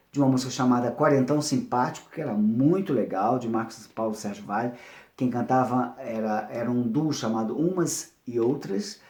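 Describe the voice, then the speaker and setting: A man's voice: mid-range voice, a little mellow. A Brazilian man presenting an informal, unscripted history talk, digressing and repeating phrases as he searches for words. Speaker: music producer presenting a series on soap opera soundtrack history. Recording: echoey, roomy sound.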